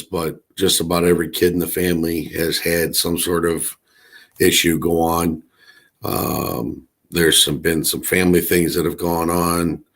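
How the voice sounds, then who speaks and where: A middle-aged man with a raspy, hoarse voice from allergies, chatting casually in a rambling way with many fillers. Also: deep voice. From Chicago area. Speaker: co-host. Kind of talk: casual livestream chat.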